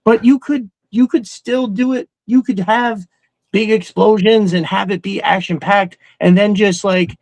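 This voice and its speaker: A man's voice: high-pitched, fairly even intonation, a little slow, a little mellow, no room sound, poor audio. A man chatting casually and emphatically as a podcast co-host, swearing freely and rambling through his opinions. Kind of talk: casual opinionated fan chat.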